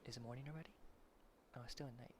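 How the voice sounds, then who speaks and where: A man's voice: medium pitch, steady tone, very fast, slight background noise. A man streaming a game, chatting and reading the player's lines aloud with side remarks. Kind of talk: casual game commentary. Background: game music.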